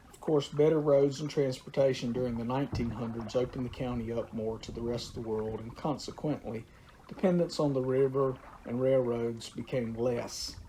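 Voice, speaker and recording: Unhurried man, gravelly low voice. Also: mellow, a little hiss or hum, low-quality recording. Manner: unhurried, with frequent "uh" pauses. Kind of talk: informal talk on local history and nature.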